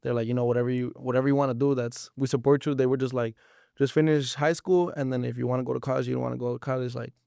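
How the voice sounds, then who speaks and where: A man's voice: mid-range voice, fairly even intonation, racing, vocal fry, mellow, dry recording, studio quality. A man of about twenty, chatting casually as an interview guest in slangy English mixed with Spanish. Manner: slangy, informal, frequent swearing.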